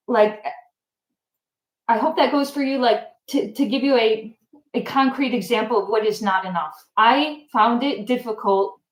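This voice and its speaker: Chatty woman livestreaming in an echoey room. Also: a little high. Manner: casual, chatty, lots of fillers. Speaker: channel host. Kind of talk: livestream chat answering viewer comments.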